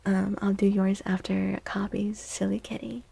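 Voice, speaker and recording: Slow, breathy, soft-spoken woman doing ASMR. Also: mid-range voice, level tone. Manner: gentle, reassuring, unhurried. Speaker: livestream host and tarot reader. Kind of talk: soft-spoken ASMR tarot reading, near whisper.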